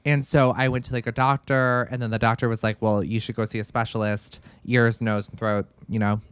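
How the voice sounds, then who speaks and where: A man's voice: mid-range voice, little pitch movement, fairly quick, fairly bright tone, clear, resonant tone, no echo. A young man co-hosting a podcast in casual chat, a little sniffly from a cold, full of "like" and "literally". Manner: chatty, lots of "like" and "literally". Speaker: podcast co-host.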